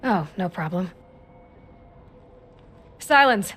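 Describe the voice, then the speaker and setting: Breathy, determined young heroine. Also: female voice, lowish voice, animated, very slow, a bit bright, heavily acted. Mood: urgent, determined. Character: young woman hero, determined, guarded and questioning. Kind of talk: video game cutscene acting.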